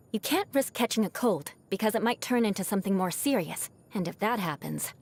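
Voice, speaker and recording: A woman's voice: medium pitch, expressive, moderate pace, creaky, breathy edge. A woman voicing a proud young aristocratic knight in a video game, with a haughty, formal, teasing manner and a vengeful streak that hides a caring side. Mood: brisk, caring. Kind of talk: scripted video game character voice lines.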